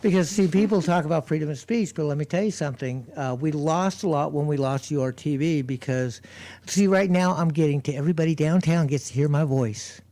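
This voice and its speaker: A man who performs on the street, chatting on community radio in a loud voice, rambling with lots of fillers and restarts. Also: on the high side, brisk. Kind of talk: casual radio chat.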